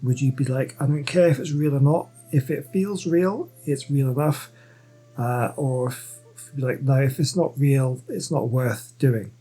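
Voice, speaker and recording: A man's voice: mid-range voice, normal speed, dark tone, slightly rough, low-quality recording. A man commenting casually over a game, musing aloud and hesitating, often restarting his sentences. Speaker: gameplay host. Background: game music.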